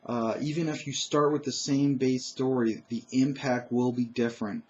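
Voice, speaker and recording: Loud, emphatic man giving opinions. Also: mid-range voice, fairly even intonation, normal speed, highs cut off. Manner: emphatic, opinionated, with frequent fillers and restarts. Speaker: gaming commentator. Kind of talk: solo opinion monologue.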